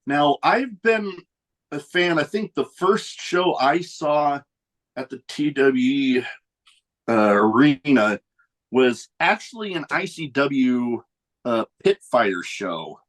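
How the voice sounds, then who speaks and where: A man hosts a casual podcast in an easy, rambling manner. His voice sits at an ordinary middle pitch, and he often trails off or restarts as he chats. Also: slow pace. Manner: relaxed, rambling, chuckles between thoughts. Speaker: podcast host. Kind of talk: casual chat.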